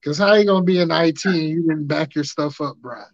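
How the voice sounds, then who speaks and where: A man in his thirties with a raspy voice, hosting a podcast and telling stories in casual chat, acting out quotes. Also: a little high, a little fast, dry recording. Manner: animated, acts out quotes in funny voices. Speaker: podcast host. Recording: dry muffled lo-fi sound.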